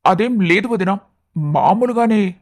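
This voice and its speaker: A man voicing an anxious, affectionate young job seeker, earnest and confiding, in an animated moral story. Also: high-pitched, unhurried, no room sound. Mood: flustered.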